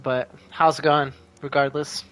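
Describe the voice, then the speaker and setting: Casting: laid-back male gamer, slightly higher-pitched, slow casual chat over gameplay. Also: little pitch movement, a bit bright, clear, resonant tone.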